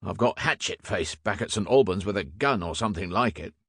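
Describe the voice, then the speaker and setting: Man playing an imperious, gruff Victorian police inspector. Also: on the low side, animated, brisk, a bit bright, dry recording, studio quality, exaggerated voice. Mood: urgent. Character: commanding, hot-tempered Victorian London male police inspector.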